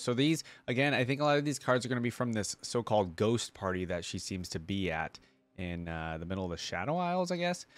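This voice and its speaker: A man hosting a reaction video, reading aloud and commenting informally as he thinks out loud for viewers. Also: lowish voice.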